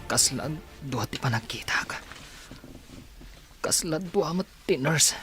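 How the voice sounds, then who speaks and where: A man's voice: on the high side, expressive, a little slow, fairly bright tone, faint music behind. Weary Filipino male patient. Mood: groggy, confused. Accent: Filipino (Ilocano). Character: weary, ailing grown grandson, a patient. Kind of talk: Ilocano radio drama acting.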